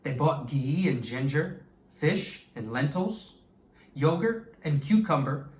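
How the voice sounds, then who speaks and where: A man reading aloud to children as a teacher, with an expressive, deliberate delivery that draws out words. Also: a little high. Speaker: storytime reader and teacher. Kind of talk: read-aloud storytime for children. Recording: echoey room, distant mic.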